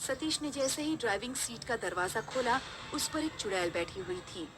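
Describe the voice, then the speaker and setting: A woman's voice: on the high side, lively intonation, moderate pace, noisy background. A woman narrating a scripted horror story.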